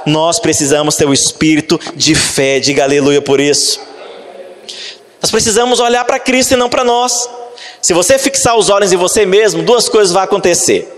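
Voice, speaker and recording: Preaching man in a reverberant church. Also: sits high. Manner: preaching with rising, shouted emphasis and slow drawn-out scripture reading. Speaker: pastor. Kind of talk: sermon. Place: church stage, large hall. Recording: long hall reverb.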